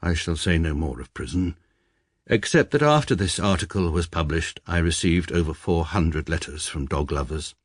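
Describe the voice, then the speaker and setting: A low-voiced, pompous English barrister narrates in a formal, pedantic, deadpan manner. He sounds middle-aged and utterly certain of his own logic. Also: moderate pace, husky, no echo, studio quality. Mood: dry. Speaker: narrator and lead character. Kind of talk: radio drama narration.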